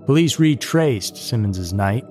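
A man's voice: mid-range voice, expressive, unhurried, darkish tone, faint music behind. A man reading scripted true crime narration as a podcast narrator.

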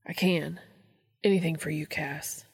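A woman voicing an eager, desperate adult man in a romantic audio story, speaking quickly and pleadingly. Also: low-pitched, steady tone, a little mellow, breathy edge, no room sound, clean studio sound, heavily acted.

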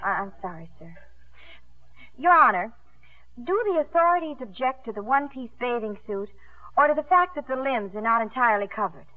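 A woman's voice: a little high, animated, brisk, lightly acted. An actress playing an earnest, ambitious young woman swimmer in a 1950s radio drama. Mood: earnest, polite. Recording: muffled old 1950s radio broadcast.

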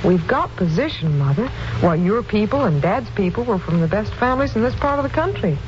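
An actress playing an ambitious, capable young American schoolteacher in a 1940s radio drama, speaking politely and articulately. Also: female voice, mid-range voice, sing-song, fairly quick, over music, close to an everyday voice. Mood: eager, earnest. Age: young adult. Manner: polite, articulate, gently persuasive. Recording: noisy, dull 1940s radio recording.

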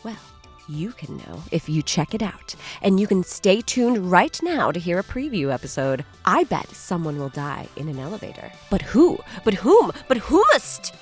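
A woman's voice: fairly low pitch, sing-song, moderate pace, faint music behind. A woman podcast host reading a scripted promo in a playful, theatrical manner.

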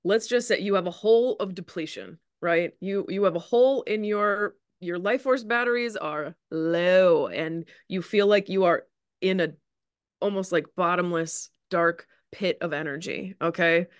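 A woman's voice: on the low side, measured pace, clear, resonant tone, no room sound, studio quality. Reassuring woman explaining on a podcast. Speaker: podcast co-host, Chinese medicine practitioner. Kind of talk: conversational explanation.

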